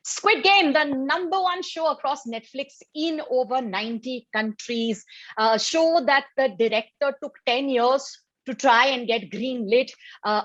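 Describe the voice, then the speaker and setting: A woman with an Indian English accent co-hosts a casual review podcast, talking in a lively, opinionated way. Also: high voice, no room sound. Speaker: podcast co-host. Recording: dull, dry lo-fi audio.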